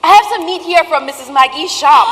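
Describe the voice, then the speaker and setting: A woman's voice: very high-pitched, expressive, normal speed, pushed and bright, full character voice. A woman playing a friendly, eager market woman in a stage play. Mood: cheerful. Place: theatre stage in a reverberant hall. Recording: echoey hall, distant stage sound.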